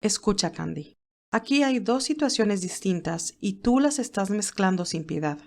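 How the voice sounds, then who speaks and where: A woman voicing a calm, motherly older woman who reasons in a measured, gently firm way in audiobook dialogue. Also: mid-range voice, natural voice.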